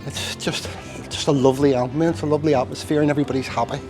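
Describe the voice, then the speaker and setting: A man's voice: medium pitch, fairly even intonation, fast talker. An Irish man walking through old town streets outdoors and chatting casually to the camera about what he sees, with a chesty, tickly cough. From Ireland. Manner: chatty, rambling, self-deprecating asides. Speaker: travel vlogger. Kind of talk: casual walking-tour commentary. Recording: music bed under the voice.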